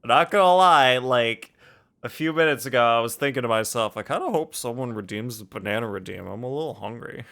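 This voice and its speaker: A man's voice: medium pitch, animated, a little fast, gravelly, a bit bright. A young man streaming a game, chatting casually and playfully with viewers and joking as he reacts. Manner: playful, jokey, reads chat aloud.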